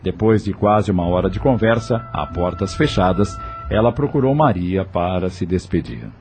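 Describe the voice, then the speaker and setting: A man's voice: deep voice, lively intonation, normal speed, darkish tone. A man with a Brazilian accent narrating an audio novel from a script. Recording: faint music under the voice. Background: music.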